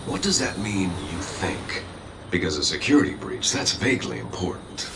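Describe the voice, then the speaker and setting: A man's voice: deep voice, animated, moderate pace, gravelly, background noise. A man playing an irritated, sarcastic hero in a video game, with a pointed conversational delivery.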